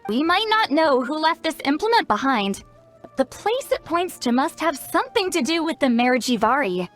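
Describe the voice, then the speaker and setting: High-voiced cocky young shaman. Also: very animated, moderate pace, faint music behind. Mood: thoughtful. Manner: self-assured, brusque, explanatory. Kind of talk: animated video game voice acting.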